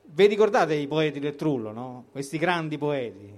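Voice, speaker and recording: High-voiced Roman man performing dialect verse. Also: sing-song, moderate pace. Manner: colloquial, theatrical storytelling with acted dialogue. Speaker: poetry performer. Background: guitar music.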